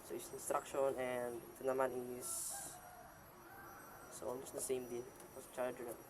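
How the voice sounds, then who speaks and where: A man with a Filipino accent giving a casual product demonstration as a vlogger, halting as he goes and slipping between his own language and English phrases. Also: medium pitch, completely flat delivery. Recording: clearly noisy amateur vlog recording.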